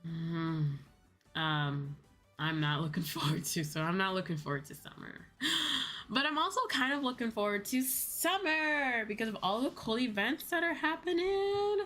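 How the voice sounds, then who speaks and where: A woman's voice: medium pitch, lively intonation, a little slow, fairly bright tone, faint music behind. A young woman hosting her stream, chatting casually and rambling a little as she grumbles about the heat. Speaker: streamer host. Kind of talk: casual chat.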